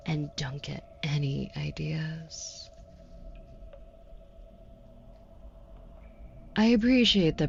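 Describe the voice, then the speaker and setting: A woman's voice: sits low, gravelly, muffled recording, heavily acted. A woman playing a haughty, predatory demon buyer in an intimate audio roleplay, taunting with condescending, mock-sweet cruelty. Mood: cool, businesslike.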